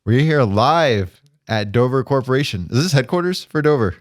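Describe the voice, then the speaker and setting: A man hosting a business podcast, speaking in a friendly, relaxed way, recorded on location at a guest's corporate office. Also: mid-range voice, moderate pace, dry recording, clean studio sound. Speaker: podcast host.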